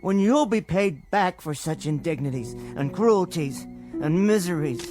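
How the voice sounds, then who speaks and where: An American actor playing a timid, bookish, henpecked middle-aged husband in a radio drama, with hesitant, stammering speech and a gentle dignity. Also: male voice, a little high, lively intonation, unhurried, faint music behind. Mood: hurt, defiant. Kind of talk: scripted radio drama acting.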